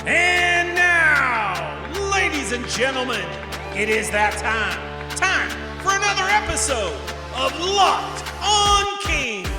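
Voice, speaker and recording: A man announcing a show intro in a shouted, drawn-out ring-announcer style. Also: very high-pitched, very animated. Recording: echoey, loud music behind.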